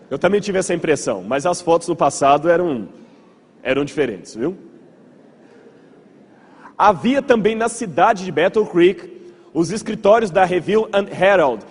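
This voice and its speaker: Male Brazilian preacher-lecturer, higher-pitched and slightly husky, conversational storyteller addressing a congregation in a reverberant hall. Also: darkish tone. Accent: Brazilian Portuguese. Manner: conversational, asks the audience rhetorical checks, repeats words for emphasis. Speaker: pastor giving a church history lecture. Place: large hall with audience.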